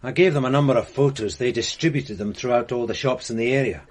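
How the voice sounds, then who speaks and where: A man's voice: mid-range voice, fast, lightly acted. A man playing an earnest, methodical police sergeant in a classic radio drama, explaining his work step by step.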